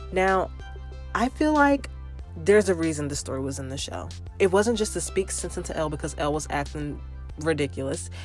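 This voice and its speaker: Chatty low-voiced woman podcast host. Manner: chatty and animated, with fillers and quoted asides. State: slightly congested from allergies. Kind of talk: casual solo podcast recap.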